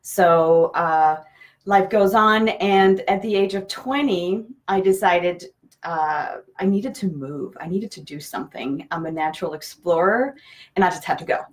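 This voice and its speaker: A woman's voice: lowish voice, a little slow. A woman from Denmark whose first language is Danish, answering conversationally as an interview guest and therapist.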